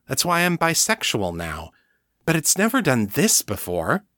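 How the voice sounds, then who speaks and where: A man's voice: on the high side, huge pitch swings, a little slow, fairly bright tone, no echo, studio quality, voice pushed up, exaggerated voice. A man voicing a frantic young supernatural woman who pleads in a rising, urgent voice. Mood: alarmed.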